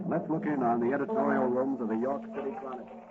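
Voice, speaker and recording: An actor narrating a radio serial in a dramatic, suspenseful 1940s style. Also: male voice, medium pitch, steady tone, fast talker, natural voice. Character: melodramatic male radio serial announcer. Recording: noisy, tinny old radio recording.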